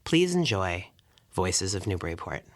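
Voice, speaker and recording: A man reading a scripted introduction as an announcer, formal and deliberate. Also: sits low, sing-song. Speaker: announcer introducing a story archive.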